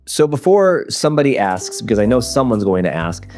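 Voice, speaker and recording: A man's voice: mid-range voice, very animated, fairly quick, darkish tone. Nasal, sniffly man rambling over guitar music. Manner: rambling, self-deprecating. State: congested from a cold. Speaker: photography vlogger. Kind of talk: casual vlog voiceover. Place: voiceover recorded indoors.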